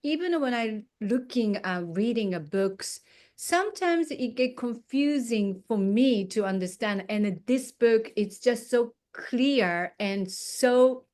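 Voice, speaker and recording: A woman hosting a casual interview as a non-native English speaker, effusive, with halting phrasing. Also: on the high side, expressive, darkish tone. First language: not English. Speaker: interview host, coach.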